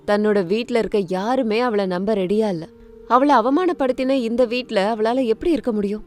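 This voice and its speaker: A woman's voice: medium pitch, darkish tone. Woman narrating a dramatic family story. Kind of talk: scripted Tamil moral-story narration with dramatic delivery.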